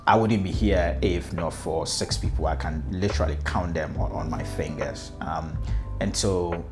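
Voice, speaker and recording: A man's voice: deep voice, normal speed, over music. A man with a Ghanaian accent telling his own story off the cuff, hesitantly, often repeating words as he goes. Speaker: speaker telling own life story, customer experience consultant. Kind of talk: personal storytelling, unscripted.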